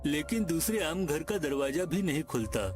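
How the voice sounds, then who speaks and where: A man's voice: fairly high pitch, expressive, normal speed. A man reading scripted narration for a cartoon moral tale as its storyteller. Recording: music behind the voice.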